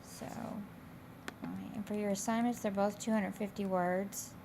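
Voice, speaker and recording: Slightly hoarse woman teacher going over assignments, kinda low and gravelly, noticeable noise behind her. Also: steady tone, measured pace. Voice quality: slightly raspy. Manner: casual, loosely phrased, conversational. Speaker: college instructor. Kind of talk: informal explanation of course assignments. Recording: clear background noise.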